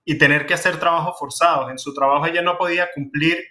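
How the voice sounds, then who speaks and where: A man's voice: a little high, steady tone, quick pace, bright, forceful tone. A man with a Venezuelan accent, speaking earnestly in a television talk-show discussion, with frequent fillers and restarts. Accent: Venezuelan Spanish. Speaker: community director.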